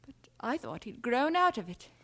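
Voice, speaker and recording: A woman playing a concerned, mildly bewildered mother of a grown son in an audio drama, speaking in a measured, resigned way. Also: on the high side, big pitch swings, fairly bright tone. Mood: resigned puzzlement.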